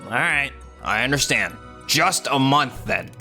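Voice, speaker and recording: A man voicing a frustrated, animated young office salesman. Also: medium pitch, measured pace, gravelly, soft background music, heavily acted. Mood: subdued. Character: frustrated, outspoken, insecure male office salesman, young adult. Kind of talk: English manga dub acting.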